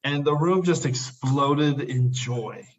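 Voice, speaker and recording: A man's voice: medium pitch, animated, slow, lo-fi sound, slight background noise. A man hosting a podcast in casual discussion, talking enthusiastically and repeating his words of praise. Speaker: podcast host.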